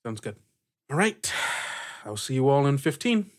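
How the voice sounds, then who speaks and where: A man narrating and chatting through an improvised tabletop role-playing game as game master, with deliberate pauses. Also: medium pitch, big pitch swings. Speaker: game master and player in an actual-play podcast.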